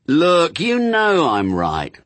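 A man's voice: a little high, big pitch swings, a little slow, breathy edge, dry recording, voice pushed up, full character voice. A man voicing a sardonic, worldly, persuasive demon with a punchy, insistent, colloquial manner in an audiobook story.

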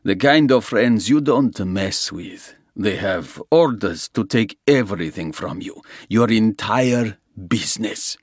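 A man voicing a smug, menacing Russian gangster who talks slowly and mocks his rival. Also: fairly low pitch, expressive, breathy edge, dry recording, clean studio sound, exaggerated voice. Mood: gloating. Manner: slow, gloating, mocking. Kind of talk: audiobook character reading.

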